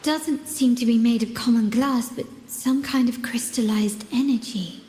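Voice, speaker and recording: Composed young heiress agent, higher voice. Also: female voice, fairly even intonation, unhurried, mellow, slightly rough. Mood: doubtful, searching. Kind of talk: video game voice acting.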